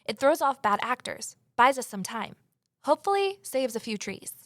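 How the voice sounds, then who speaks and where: A determined amateur sleuth woman in an audio drama. Her voice sits fairly high and is recorded close and dry, with an earnest, persuasive way of speaking. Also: sing-song, moderate pace, no echo. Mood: eager, earnest. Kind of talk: audio drama acting and first-person narration.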